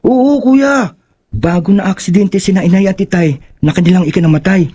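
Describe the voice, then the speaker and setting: A man doing young boy Boyet, high and anxious. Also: moderate pace, dark tone, husky, dull audio. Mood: pleading.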